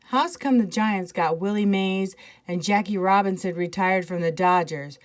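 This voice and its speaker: A woman's voice: medium pitch, moderate pace, natural voice. A woman reading aloud as an earnest, questioning young boy who loves baseball, in a picture book. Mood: puzzled.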